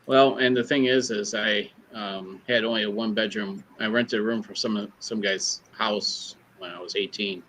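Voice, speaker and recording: A man co-hosting a casual chat, easygoing and halting as he talks. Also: lowish voice, steady tone, a bit bright, a little hiss or hum, poor audio.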